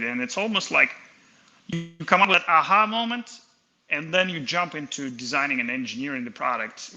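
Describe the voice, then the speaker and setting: Man from Kazakhstan, thirties, very bright tone, halting delivery full of fillers, interview guest. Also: on the high side, low-quality recording. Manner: hesitant, many fillers and restarts. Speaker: inventor guest. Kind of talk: casual interview talk.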